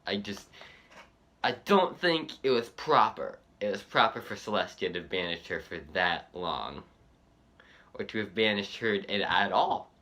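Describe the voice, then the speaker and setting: A man giving casual, unscripted fan commentary as a reaction video host, halting and repeating himself as he goes. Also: on the low side, animated, pushed and bright.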